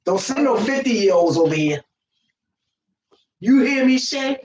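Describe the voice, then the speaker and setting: A woman from Virginia, raised in New York City, with a slightly country Southern accent, co-hosting a casual live chat. She talks loud, outspoken and playful. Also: a little high, expressive, measured pace, recorded from a distance. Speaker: co-host. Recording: roomy echo, faint noise.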